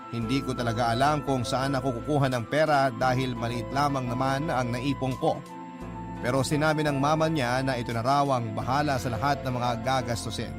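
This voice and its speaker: A man, a radio host, reading a letter as dramatic narration in an earnest, sentimental way. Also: mid-range voice. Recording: clear music bed behind voice. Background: music.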